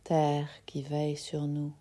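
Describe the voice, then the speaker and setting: A soft-spoken woman guiding a yoga nidra relaxation in a soothing manner, leaving long pauses between phrases. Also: low voice, monotone. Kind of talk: guided meditation.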